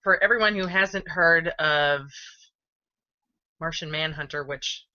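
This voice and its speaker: A woman's voice: unhurried, bright, forceful tone. Rambling woman on a headset mic. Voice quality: deep voice. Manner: rambling with restarts, laughing. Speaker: podcast co-host. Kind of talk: casual chat. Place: room with curtains. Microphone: headset on a video call. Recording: dull lo-fi video call audio.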